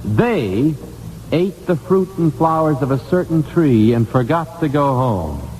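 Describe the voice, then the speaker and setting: Animated American radio quiz man, echoey hall. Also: fairly high pitch, unhurried, faint music behind. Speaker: quiz show host. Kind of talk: 1950s radio quiz hosting. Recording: echoey, noisy 1950s radio recording.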